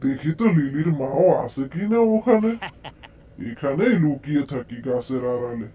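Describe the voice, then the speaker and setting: A man's voice: medium pitch, sing-song, moderate pace, highs cut off, lightly acted. A man acting a spiteful, scheming village landlord. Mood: scheming, grumbling. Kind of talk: amateur cartoon voice acting.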